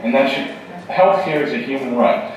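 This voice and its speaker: A man's voice: mid-range voice, animated, moderate pace, dull audio, a little hiss or hum. A man running for state assembly answers questions at a candidate forum in an earnest, emphatic way, sometimes restarting his sentences. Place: campus event hall. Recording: very echoey hall from far off.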